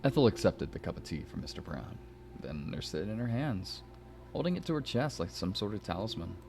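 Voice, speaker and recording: Deep, gravelly man streaming. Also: sing-song, a little fast, darkish tone. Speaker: stream host reading a visual novel aloud. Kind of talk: casual chat and expressive narration of a story. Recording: music behind the voice. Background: background music from the game.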